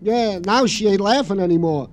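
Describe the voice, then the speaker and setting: An actor plays a meek police sergeant with a slightly high voice. He is plaintive and mournful, halting between short phrases, on a noisy old radio crime drama recording. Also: a little mellow, lightly acted.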